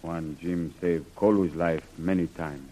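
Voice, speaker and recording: An actor in a 1930s radio serial playing a loyal native servant who speaks halting broken English. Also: male voice, sits low, steady tone, mellow, slight background noise. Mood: dutiful reporting. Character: loyal native servant and tracker, a grown man. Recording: old radio recording.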